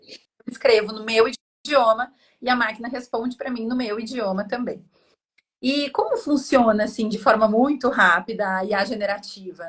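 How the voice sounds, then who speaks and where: A woman, a professor and guest expert explaining a technical topic in a clear, teacherly way. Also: a little high, fairly bright tone. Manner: clear, explanatory, frequent "né?" tags. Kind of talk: didactic panel explanation.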